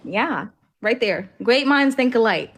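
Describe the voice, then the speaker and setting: A woman with a drawl hosts a livestream in an easy, talkative way. Her voice sits a little high, and her sentences run on with plenty of casual fillers. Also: lively intonation, measured pace, fairly bright tone. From Alabama, US. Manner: chatty, filler-heavy with frequent "like". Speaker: livestream host. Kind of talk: casual chat.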